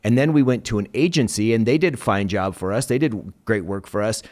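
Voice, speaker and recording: A man hosting a podcast interview in a somewhat low voice. He praises his guest with enthusiasm and builds his questions out at length before handing over the floor. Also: brisk, a little mellow. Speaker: podcast host.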